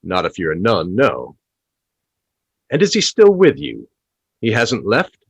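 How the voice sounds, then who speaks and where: A man's voice: lowish voice, huge pitch swings, a little slow, gravelly, slightly rough, dry recording, full character voice. A man playing a cheeky, incredulous radio phone-in host in a comedy play, theatrical and wry.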